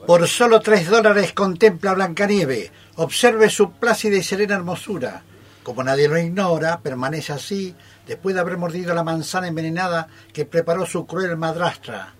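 A man's voice: high-pitched, normal speed. A man playing a theatrical, inviting sideshow barker in a radio play reading. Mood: showy, inviting. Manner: theatrical, inviting showman's patter. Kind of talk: dramatic reading of a short story for radio theatre.

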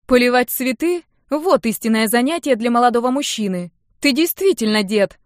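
Young woman, acted by a female narrator, indignant, combative, exasperated, high emphatic delivery, audiobook dialogue, dry. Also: lively intonation, normal speed, no room sound, close to an everyday voice. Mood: taunting. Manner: exclamatory, exasperated protests.

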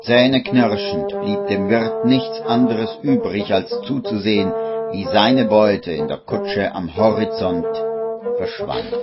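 A man narrating a radio play as a storyteller, with measured, dramatic suspense and wry irony. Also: on the low side. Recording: dull radio recording, music behind.

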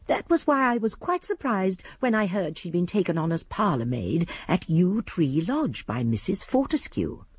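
Elderly English lady sleuth, prim, shrewd, very breathy and husky, expressive intonation, radio drama narration. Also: fairly low pitch, moderate pace, hardly any pauses, no echo. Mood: prim, gossipy. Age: older. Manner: prim, precise storytelling. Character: genteel, shrewd elderly spinster sleuth, primly judgmental.

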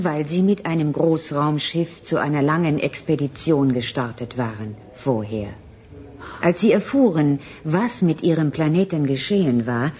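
A transhuman alien in a radio play, with a dark, low voice. The figure speaks solemnly and formally in stilted phrasing, over faint background noise. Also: female voice. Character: calm, solemn transhuman alien emissary among humans. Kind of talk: German radio drama acting.

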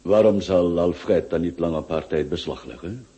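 An actor playing an ageing retired royal guard captain in a radio play, proud, musing and a little muddled. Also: male voice, deep voice, moderate pace, dark tone, close to an everyday voice. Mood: curious. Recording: dull lo-fi old radio recording.

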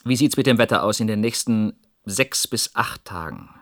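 A man's voice: lowish voice, expressive, normal speed, fairly bright tone. An actor playing a brusque, worried male ministry official in a German radio drama. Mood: brisk.

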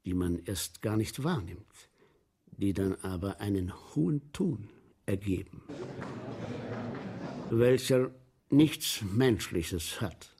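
A man's voice: fairly low pitch, lively intonation, slow, pauses often, dark tone, a little hiss or hum. An actor playing an obsessed, obsequiously polite showman in a German radio play, savoring gruesome details with theatrical insistence. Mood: savoring, grave.

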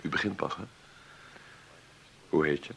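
A famous film-maker in his fifties in a radio play, wealthy and wry. His voice is low and full of gravel. He speaks with halting authority and a generous streak under the command. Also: a bit bright, a little hiss or hum, full character voice. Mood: musing. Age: 50s. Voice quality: gravelly, husky. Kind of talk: Dutch radio play acting.

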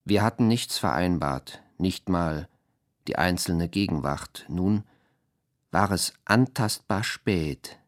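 A man with a low voice recites poetry for a radio drama. He goes extremely slowly, in deliberate, halting phrases with wide swings of melody. The recording is close and dry. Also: expressive, dry recording.

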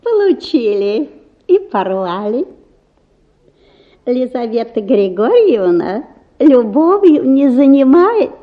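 An actress playing a coy, smirking village cook passing on messages in a radio play. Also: female voice, high voice, sing-song, takes it slowly, long pauses, phone-quality sound, exaggerated voice. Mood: smug. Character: village priest's female cook, smirking messenger.

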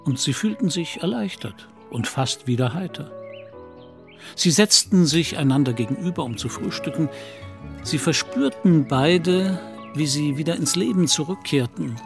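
A man's voice: fairly high pitch, lively intonation, moderate pace, creaky, over music. A man narrating a literary radio play from a script.

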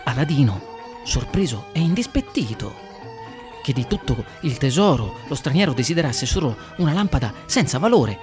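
A man reading a fairy tale aloud in expressive storytelling narration. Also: medium pitch, normal speed, over music. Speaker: guest reader of fairy tales.

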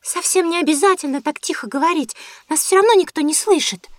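A woman's voice: very high voice, a little fast, no echo. A woman playing a curious, chatty little girl in a children's audio drama.

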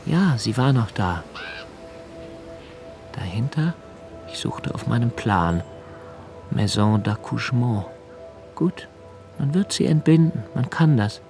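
An actor playing an anxious, solitary young writer in a literary radio play monologue, halting and pensive, sometimes sinking to near-whispers. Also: male voice, medium pitch, animated, darkish tone, soft background music. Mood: brooding, observant.

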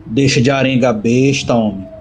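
A man voicing a level-headed, practical bandit from the backlands in an audio drama, steady and folksy. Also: medium pitch, moderate pace, slight background noise, pitched above the performer's own voice, close to an everyday voice, poor audio. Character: level-headed male bandit leading his wounded companion. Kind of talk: audio drama character acting.

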